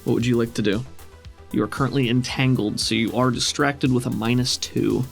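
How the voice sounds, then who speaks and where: A man's voice: mid-range voice, fairly even intonation, a little fast, creaky, faint music behind. A man running a tabletop game as game master, talking through rules casually and encouragingly. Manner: encouraging, affirming.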